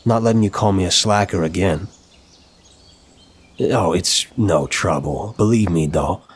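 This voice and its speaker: A man playing an apologetic, flirtatious mafia boss in an intimate ASMR roleplay, speaking in a courteous, teasing, slightly halting way. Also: low-pitched, husky. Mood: warm, eager.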